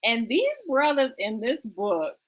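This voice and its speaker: A woman's voice: fairly high pitch, lively intonation, measured pace, lo-fi sound. A woman hosting a book talk show, speaking conversationally and enthusiastically in an emphatic, exhorting way that repeats phrases for effect.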